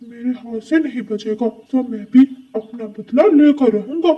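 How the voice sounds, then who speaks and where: A man voicing an excitable, feisty male parrot in a children's cartoon, with a squeaky voice. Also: measured pace, slightly rough, distant mic, dull audio, exaggerated voice. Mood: angry, vengeful. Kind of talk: Hindi cartoon story acting.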